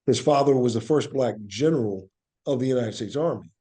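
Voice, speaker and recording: A man's voice: medium pitch, brisk, dark tone. Earnest man reflecting in an interview. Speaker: interview guest, actor and playwright. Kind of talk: conversational podcast interview.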